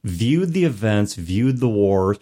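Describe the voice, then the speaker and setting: A man's voice: fairly low pitch, very animated, takes it slowly, warm tone. An American man co-hosting a discussion podcast, explaining his points in long, carefully qualified sentences. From United States. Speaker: podcast co-host. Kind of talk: conversational discussion of role-playing games.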